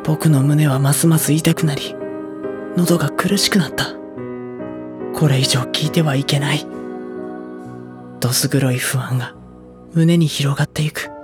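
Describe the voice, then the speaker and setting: A man's voice: on the high side, fairly even intonation, measured pace, pauses often, over music, close to an everyday voice. Brooding, guarded teenage boy played by an actor. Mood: anxious, pained. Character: troubled, withdrawn high school boy narrator. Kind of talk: dramatic inner monologue and dialogue.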